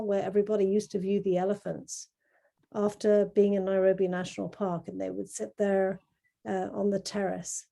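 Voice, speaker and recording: A middle-aged woman from Kenya talking informally as an artist presenting their work on a video call, explaining in a measured, earnest way. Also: mid-range voice, vocal fry, mellow. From grew up in Kenya. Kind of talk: informal talk presenting artwork to an online group.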